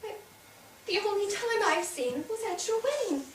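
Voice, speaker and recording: Grieving, regretful elderly-mother role for stage drama female actor, high voice, theatrical, noisy distant recording. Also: expressive, normal speed. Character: grieving older widowed mother, ashamed and regretful. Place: theatre stage, picked up from far off. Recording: distant echoey theatre, noticeable noise.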